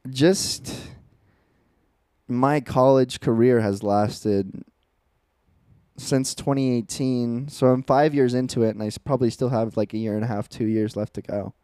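A man's voice: medium pitch, a little slow, mellow, smooth, no echo, clean studio sound. A man in his twenties, co-hosting a casual podcast chat, telling stories in an earnest, reflective way. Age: 20s. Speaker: podcast co-host.

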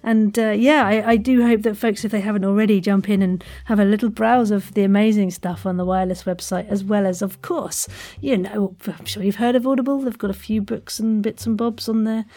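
A woman's voice: mid-range voice, fairly even intonation, fairly quick, few pauses, warm tone. A woman hosting a podcast, chatting to guests and listeners in an effusive, playful way full of wordplay. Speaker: podcast host. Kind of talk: chatty interview and sign-off to listeners.

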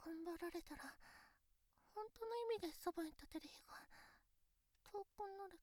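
A woman voicing a shy, devoted teenage schoolgirl girlfriend in an intimate bedside voice drama, with a gentle, hesitant manner. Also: very high-pitched, no room sound. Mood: flustered, earnest. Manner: gentle, hesitant, stammering when embarrassed, drawn-out delivery. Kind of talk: Japanese situation voice drama, intimate bedside roleplay for relaxation.

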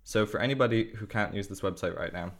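Chatty Irish teenage boy, slightly low. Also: quick pace, a bit bright. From Ireland. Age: teens. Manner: chatty, explains at length with fillers. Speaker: student explaining school. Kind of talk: casual video chat.

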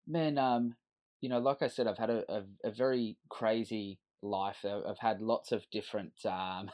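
A man's voice: on the low side, steady tone, normal speed, a little mellow. A man in his thirties with an Australian accent, a podcast guest telling his life story in an earnest, reflective way. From Western Sydney, Australia. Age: 30s. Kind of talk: candid personal storytelling.

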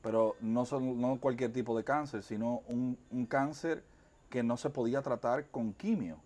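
A male interview host with a Dominican accent speaks in a conversational register. His voice sits at an ordinary, middle pitch for a man, and he puts questions and sets up context for a guest. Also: fairly even intonation, moderate pace, darkish tone.

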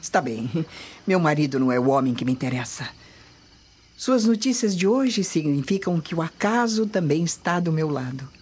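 A woman's voice: sits low, animated, moderate pace, a little mellow, slightly rough. A woman with a Brazilian accent playing a haughty, scheming Roman noblewoman in a radio drama, imperious and theatrical. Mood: gloating. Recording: dull lo-fi radio drama audio.

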